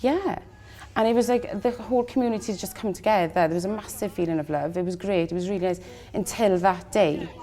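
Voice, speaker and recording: A woman's voice: mid-range voice, a little fast, mellow. A woman recounting her experience informally in an interview. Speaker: interviewee, local parent. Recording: faint background ambience noise.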